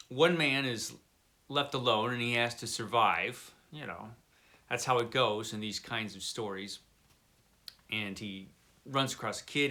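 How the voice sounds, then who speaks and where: A man's voice: mid-range voice, sharp tone. A man hosting a book channel, chatting casually to viewers and leaning on words for emphasis. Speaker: book review channel host. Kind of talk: casual book chat to camera.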